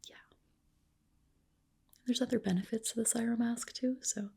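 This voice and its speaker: Breathy whispering ASMR woman. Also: a little high, steady tone, moderate pace. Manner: gentle, reassuring, attentive. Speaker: ASMR performer playing a medical spa clinician. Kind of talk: whispered ASMR roleplay, personal attention.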